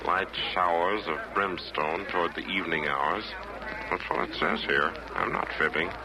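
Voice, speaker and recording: An actor playing a chatty, wisecracking announcer reporting from hell in a rhymed 1940s radio comedy. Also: male voice, sits low, steady tone, moderate pace, crowd noise. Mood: chatty, jokey. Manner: chatty, wisecracking, mock-newsreading. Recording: noisy old 1940s radio recording.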